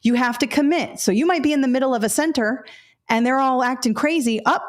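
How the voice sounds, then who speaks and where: A woman in her forties presenting a webinar for teachers in a loud, candid, chatty way, telling stories from her classroom days. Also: fairly high pitch. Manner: chatty, candid, animated storytelling. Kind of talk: casual webinar talk to teachers.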